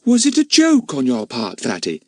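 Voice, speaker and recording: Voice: mid-range voice, huge pitch swings, normal speed, dark tone, no echo, clean studio sound, voice pushed up. A narrator voicing a curious, questioning young girl in a storybook.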